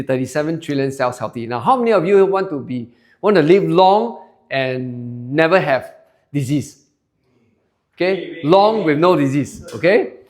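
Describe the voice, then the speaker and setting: A man in his forties with a Singaporean English accent, giving a chatty sales presentation to a room of listeners and polling them with questions. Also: fairly high pitch. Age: 40s. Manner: chatty, polls the crowd and tags lines with "okay?". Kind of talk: sales presentation to a live audience. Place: seminar room with an audience.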